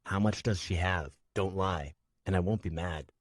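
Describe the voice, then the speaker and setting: A man's voice: low-pitched, moderate pace, no echo, clean studio sound. A man voicing a harsh, impatient adult man who bullies a child. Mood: coaxing. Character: harsh, bullying adult man driving a carriage.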